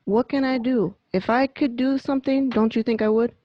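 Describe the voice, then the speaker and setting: A woman's voice: on the high side, steady tone, moderate pace, no echo, close to an everyday voice. A woman playing a put-upon, brusque mob boss in an amateur radio play. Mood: exasperated, resigned. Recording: muffled home internet recording.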